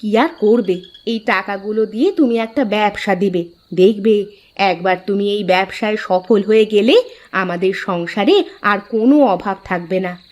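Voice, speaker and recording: A woman playing a caring, encouraging village wife in a cartoon folk tale, speaking in a coaxing, expressive way. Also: mid-range voice, normal speed, close to an everyday voice. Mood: reassuring.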